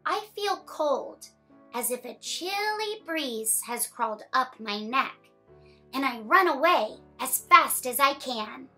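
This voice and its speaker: High, bright young woman reading to children. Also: takes it slowly, faint music behind. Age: young adult. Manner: animated, expressive storytelling to young children. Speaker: children's story time host. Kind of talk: picture book read-aloud for children.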